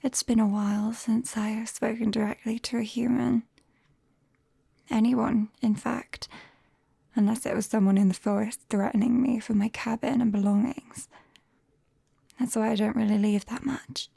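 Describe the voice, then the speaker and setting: A British woman playing a gentle, shy, caring forest witch in a soft, breathy ASMR whisper, close to a binaural microphone. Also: fairly high pitch, steady tone, dry recording, heavily acted. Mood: caring, thoughtful. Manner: slow, gentle, hesitant. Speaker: ASMR roleplay performer.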